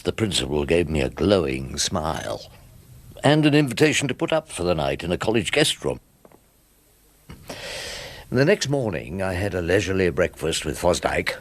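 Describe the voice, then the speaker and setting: A man playing a wry, world-weary older English barrister in a radio drama, speaking and narrating in a dry, droll, literate way. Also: deep voice. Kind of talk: radio drama dialogue and first-person narration.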